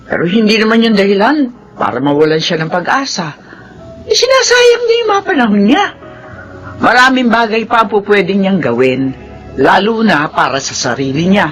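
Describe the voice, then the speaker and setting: Casting: chatty, exasperated Filipino neighbour in radio drama, high register, very lively intonation. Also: male voice, normal speed, soft background music. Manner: animated, gossipy.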